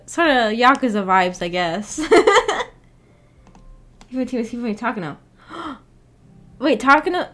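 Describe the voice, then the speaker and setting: Female streamer, fairly high voice, giggly and rambling, for casual book club chat. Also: a bit bright.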